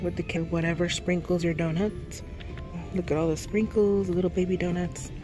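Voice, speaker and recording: A woman narrating as she films store shelves, reading out products and prices with soft laughs. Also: lowish voice, steady tone, brisk. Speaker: vlogger. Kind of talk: casual shop-with-me narration. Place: large store aisle. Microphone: phone held while filming. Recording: music overlay loud behind voice. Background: store noise and music.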